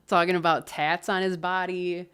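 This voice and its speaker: A woman casually talking through an album review, loose and expressive, swearing and laughing often. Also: on the low side, slow, smooth. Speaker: music reviewer.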